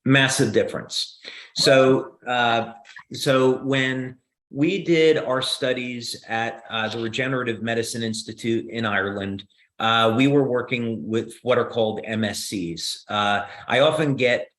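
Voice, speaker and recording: A man with a New Jersey accent explaining science on a video call, measured and steady, with frequent "uh" fillers. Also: mid-range voice.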